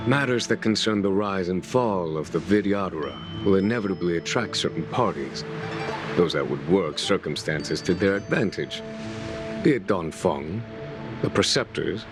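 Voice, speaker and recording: An actor playing a calm, wry male general in a video game, speaking in a measured, knowing way. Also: low voice, natural voice. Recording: game music underneath. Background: game music.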